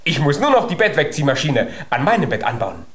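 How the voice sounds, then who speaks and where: A man's voice: fairly high pitch, big pitch swings, fairly quick, crowd noise. An actor playing a tiny, clever, stubborn young giant boy in a children's radio play, eager and insistent. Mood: excited, proud.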